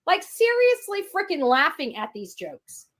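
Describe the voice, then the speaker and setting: A woman's voice: extremely high pitch, huge pitch swings, a little slow, fairly bright tone. A woman chatting casually on a livestream in a brash, mocking way.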